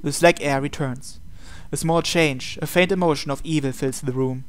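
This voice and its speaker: A man's voice: on the high side, fairly even intonation, moderate pace, clean tone. A man hosting a game video, reading visual novel text aloud and adding his own asides. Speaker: gaming video host.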